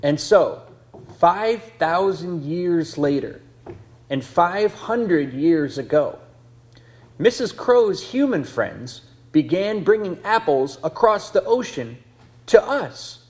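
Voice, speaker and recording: A man narrating a puppet show as a storyteller, with scripted, measured phrasing. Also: high-pitched, animated. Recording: loud guitar music behind voice.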